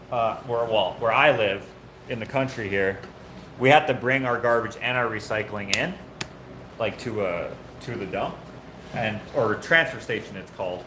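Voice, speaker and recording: A man hosting a live build stream, chatting casually with viewers while he works and often correcting himself mid-sentence. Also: fairly low pitch. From Canada. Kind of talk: casual chat while working. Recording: echoey workshop with loud background noise.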